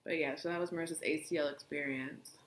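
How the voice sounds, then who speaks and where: A young woman with a raspy voice, co-hosting a podcast in casual, wry chat. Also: deep voice, steady tone, fast. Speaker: podcast co-host, teacher. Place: living room couch, indoors. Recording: echoey room.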